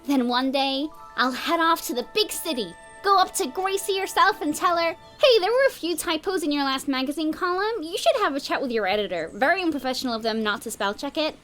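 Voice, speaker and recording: A chirpy animal villager with a very high, lively voice. The character is helpful and a bit odd, speaking in a cartoon parody over clear music. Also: female voice, brisk, few pauses. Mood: eager, matter-of-fact. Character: chirpy, helpful, oddball animal villager, aspiring clothing designer. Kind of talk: animated parody dub acting.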